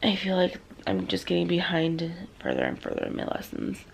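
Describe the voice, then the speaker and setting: A young woman, a student teacher, chatting casually in a vlog, rambling with lots of "um" and "like", her voice slightly hoarse as she loses it. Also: lowish voice, wildly swooping pitch, moderate pace, a little hiss or hum. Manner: rambling, full of "um" and "like".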